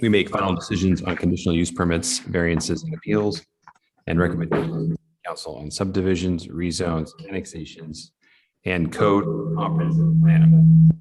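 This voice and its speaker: Male committee chair, deep voice, formal and procedural delivery. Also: expressive, moderate pace, heavy background noise. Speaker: commission chair running a public hearing. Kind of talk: formal meeting procedure, partly read out.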